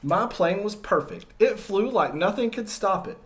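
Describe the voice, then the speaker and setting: A man's voice: high voice, animated, moderate pace, fairly bright tone, voice pushed up. A man voicing a timid yet persevering egg in a children's storybook, narrating earnestly and expressively in the first person. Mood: hopeful.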